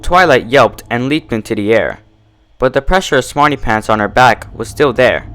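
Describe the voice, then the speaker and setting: A man's voice: mid-range voice, normal speed. A man narrating a story in a dramatic, scripted reading style. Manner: animated, dramatic.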